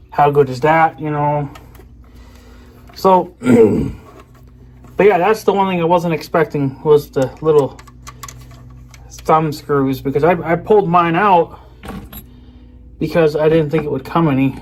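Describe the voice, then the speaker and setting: A man chatting casually to viewers as he shows off an item, rambling and often trailing off mid-sentence. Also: on the high side. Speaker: video creator. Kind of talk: casual unboxing chat to viewers. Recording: clear background noise.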